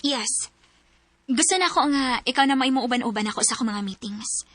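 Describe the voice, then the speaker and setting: Bright, high-pitched flirtatious lady boss. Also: brisk, dry recording. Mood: confident. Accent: Filipino, Cebuano-speaking. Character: flirtatious married female boss, bold and forward. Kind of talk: Philippine radio drama acting.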